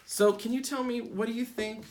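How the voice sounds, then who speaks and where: A man's voice: very high-pitched, steady tone, normal speed, darkish tone, slight background noise. A man reading a picture book aloud to children in simple, teacherly phrasing, prompting listeners as he goes. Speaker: read-aloud storyteller for children. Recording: echoey, roomy sound.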